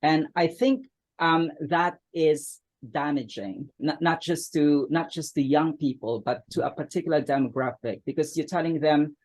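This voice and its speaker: A man's voice: on the high side, normal speed, a little mellow. Hesitant man, many fillers. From Philippines. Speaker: guest author. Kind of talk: podcast interview answers.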